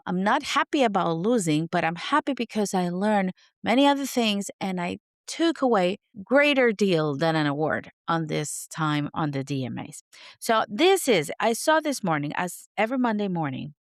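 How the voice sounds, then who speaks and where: Podcast host, woman, hoarse and raspy from a sore throat, lowish voice, rambling self-mocking chat, dry close sound. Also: no echo. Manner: rambling and chatty, laughing at herself. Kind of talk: unscripted casual chat.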